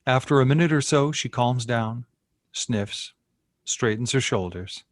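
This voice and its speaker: A man of medium pitch narrates screenplay directions. He reads in short, matter-of-fact phrases, recorded very dry and close. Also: unhurried, no echo. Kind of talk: scripted narration at a screenplay table read.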